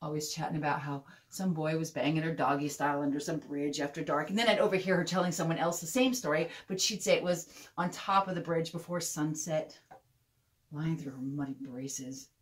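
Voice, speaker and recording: A woman's voice: low-pitched, brisk, lightly acted. A woman playing a streetwise, scornful fifteen-year-old girl in a dramatic monologue, with a blunt, slangy, gossipy delivery. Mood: gossipy, indignant.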